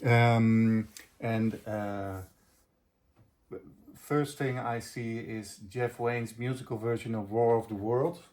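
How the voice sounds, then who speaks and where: A middle-aged man chatting casually as a video host reviewing gear, with a hesitant, halting delivery. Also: mid-range voice, fairly even intonation, darkish tone.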